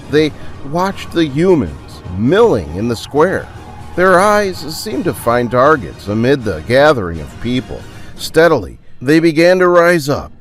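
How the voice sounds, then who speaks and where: A man narrating a dramatic audio story in a theatrical, deliberate and suspenseful way. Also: a little high. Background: music.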